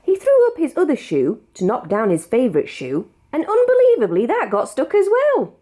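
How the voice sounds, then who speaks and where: A woman with a British accent reading a children's picture book aloud in an expressive, measured storytelling manner. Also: high voice. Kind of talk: read-aloud storybook narration.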